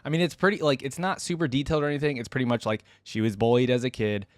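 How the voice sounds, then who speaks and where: A man's voice: mid-range voice, steady tone, very fast, dry recording, clean studio sound. A man hosting a reaction video, giving casual, chatty, enthusiastic fan commentary in informal language.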